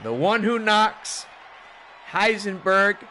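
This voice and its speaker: A hoarse man gives play-by-play commentary on a wrestling video game stream. His voice is bright and lively, sitting a little high. He keeps a chatty, hyped-up delivery with faint noise behind him. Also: slow pace, a faint crowd, poor audio. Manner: animated, chatty hype.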